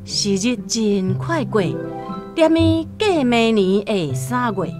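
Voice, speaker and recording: Slow, animated Taiwanese woman narrator. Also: a little high, over music. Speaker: radio drama narrator. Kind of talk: expressive scripted story narration.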